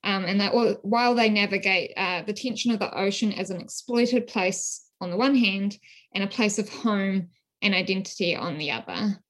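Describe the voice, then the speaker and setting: A woman from New Zealand with a New Zealand accent, a scholar speaking in a thoughtful, measured way with frequent ums. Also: mid-range voice, fairly bright tone. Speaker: guest scholar. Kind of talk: academic interview.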